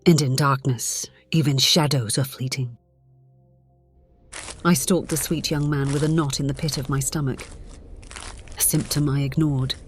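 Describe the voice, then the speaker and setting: A woman playing a centuries-old, conflicted vampire, narrating gravely in a measured, theatrical way. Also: very deep voice, fairly even intonation. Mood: brooding. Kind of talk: dramatic scripted narration. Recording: dramatic music behind the voice.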